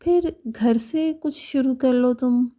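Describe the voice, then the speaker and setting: Female narrator playing young woman friend, audio story, encouraging, practical, advising, highish soft voice. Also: slow.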